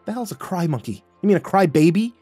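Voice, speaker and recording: Man playing exasperated, foul-mouthed, excitable guy. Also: mid-range voice, normal speed, no echo, soft background music, close to an everyday voice. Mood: annoyed, incredulous. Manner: blunt, slangy, excitable, stammering when flustered. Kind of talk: audiobook character dialogue.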